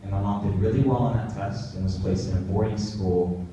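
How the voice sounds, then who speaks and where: A man's voice: lowish voice, fairly even intonation, a little fast, dark tone, lo-fi sound, a little hiss or hum. A young man in his twenties from California, giving a casual talk to middle schoolers with many fillers and restarts. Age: 20s. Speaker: guest speaker. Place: large echoey school room. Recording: echoey room from far off.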